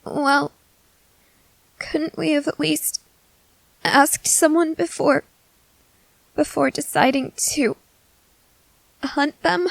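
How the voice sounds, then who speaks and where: A woman voicing a gentle, nervous, newly turned young female vampire who speaks haltingly in an ASMR roleplay. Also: high voice, fairly even intonation, creaky, no room sound, pitched above the performer's own voice, exaggerated voice, rough recording. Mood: pleading. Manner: hesitant, halting, soft-hearted. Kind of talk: scripted ASMR audio roleplay.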